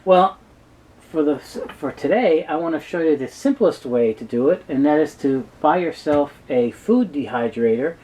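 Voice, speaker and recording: A man giving a halting, explanatory technical talk as an expert guest in an interview. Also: on the high side, expressive, warm tone, slight background noise. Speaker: guest expert on reel-to-reel tape.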